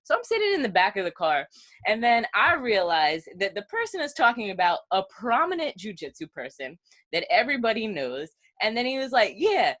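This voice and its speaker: A woman's voice: fairly high pitch, sing-song, brisk, a bit bright, dry recording. Chatty, laughing woman on a dry mic. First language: not Portuguese; Portuguese is her third language. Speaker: podcast co-host. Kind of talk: casual podcast storytelling.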